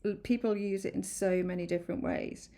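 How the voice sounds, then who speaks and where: A woman chatting through a card-making tutorial, a little croaky from hay fever, rambling and apologetic with many ums. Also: medium pitch, fairly even intonation, fairly quick, mellow. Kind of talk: casual craft tutorial. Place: home craft room.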